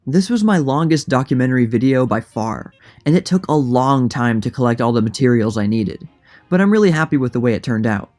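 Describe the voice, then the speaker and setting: A man's voice: mid-range voice, lively intonation, fairly quick, a little mellow, faint music behind. A man narrating a scripted history documentary in a plain, informative manner. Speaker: narrator and creator of a history channel.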